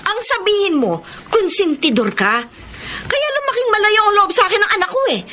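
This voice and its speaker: A woman with a Filipino accent playing a cold, scornful middle-aged wife and mother in a radio drama, sharp and accusing. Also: very high-pitched, sing-song, a little fast, exaggerated voice. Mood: scornful, angry. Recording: noisy radio drama track with ambience.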